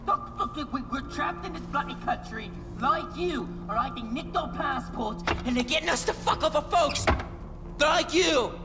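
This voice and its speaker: Wheedling British chancer, high-pitched, excitable, comic game sidekick, music behind. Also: male voice, moderate pace, in a large hall. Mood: pleading. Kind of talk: comic video game cutscene acting.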